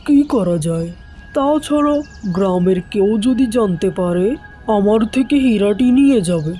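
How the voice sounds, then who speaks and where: A woman's voice: mid-range voice, lively intonation, moderate pace, mellow, soft background music, close to an everyday voice. A woman voicing a poor, greedy young village man in a fairy-tale cartoon, exclaiming and talking to himself. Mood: anxious. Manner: self-talking, exclaiming, plaintive.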